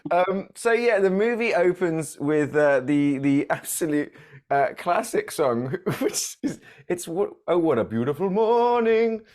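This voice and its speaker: A man co-hosting a casual movie podcast, chatty and quick to laugh, tossing in jokey asides. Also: high voice, expressive, a little mellow. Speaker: podcast co-host.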